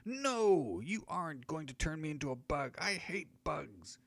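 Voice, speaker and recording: A man doing a shrill, panicked halfling. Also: very animated, unhurried, creaky, heavily acted. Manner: shrill screaming. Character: terrified male halfling, small and whiny.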